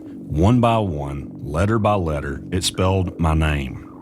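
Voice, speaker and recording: An actor playing an uneasy man who recounts eerie events in measured, suspenseful first-person audio drama narration. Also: sits low, background noise. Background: music.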